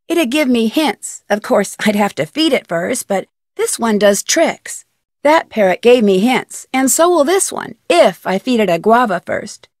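Young woman sleuth, fairly high voice, earnest, polite, adventure game dialogue, close dry sound. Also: animated, a little slow, no room sound. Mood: chatty, helpful. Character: inquisitive, polite young woman amateur detective. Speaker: video game lead character.

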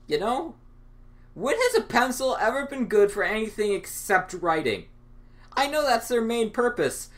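A man's voice: high voice, a bit bright. A young man hosting his own channel, rambling through an improvised, absurd and sarcastic monologue with lots of stammering. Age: young adult. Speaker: channel host.

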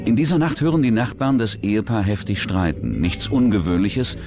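A man narrates a true-crime documentary in a low voice. His pitch moves around in a lively way as he reads the script. Prominent music plays underneath. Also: brisk.

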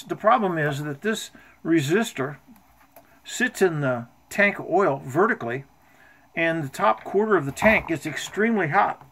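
A man's voice: fairly high pitch, a bit bright, slight background noise. A man in his seventies giving an informal, matter-of-fact technical show-and-tell of his homemade radio equipment in a workshop. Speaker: amateur radio hobbyist. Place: indoor home workshop.

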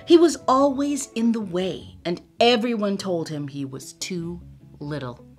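A woman's voice: mid-range voice, expressive, unhurried, darkish tone, faint music behind. An older woman reading a children's story aloud expressively, with asides to young listeners, indoors on a webcam. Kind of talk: children's read-aloud narration. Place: indoor room with bookshelves.

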